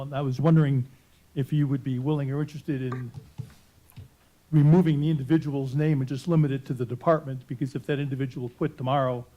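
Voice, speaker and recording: A man's voice: medium pitch, mellow. Tentative man on a dull lo-fi recording. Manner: tentative, hedging. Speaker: board member. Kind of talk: questioning at a public hearing. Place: county board meeting room. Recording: dull lo-fi sound.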